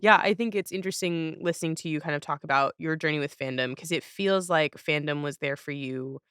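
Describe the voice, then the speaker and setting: A woman's voice: low voice, fairly quick, a bit bright, clear, resonant tone, dry recording, studio quality. A woman podcast host in casual conversation, speaking in a reflective, encouraging way with tentative phrasing.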